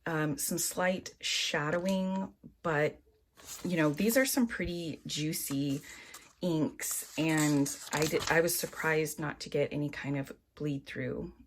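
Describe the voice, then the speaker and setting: A woman vlogger with a low, even voice walks slowly through a planner's pages. She explains each detail in a calm, unhurried, casual way. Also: slight background noise. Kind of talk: casual product walkthrough.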